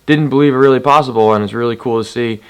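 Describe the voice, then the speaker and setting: A 23-year-old man in a casual interview, relaxed and enthusiastic. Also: medium pitch, quick pace, clean tone.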